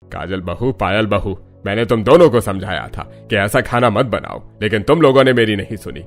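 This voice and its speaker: A man's voice: fairly low pitch, expressive, normal speed, soft background music. An actor playing an older father-in-law in a cartoon family drama, paternal, emphatic, kindly but firm. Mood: stern.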